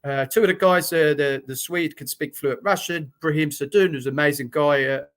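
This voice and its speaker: A man's voice: fairly high pitch, normal speed, fairly bright tone. A middle-aged man from Britain, a former soldier talking frankly as a guest on a video call, with plenty of fillers and restarts. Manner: blunt, frank talk with many fillers and restarts. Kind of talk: conversational interview account.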